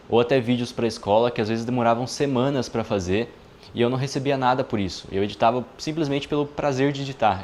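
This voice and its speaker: Early-20s male vlogger, easygoing conversational delivery, average pitch, faint room hiss. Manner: relaxed, chatty, frequent filler tags. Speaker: video editor and YouTuber. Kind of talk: casual vlog monologue.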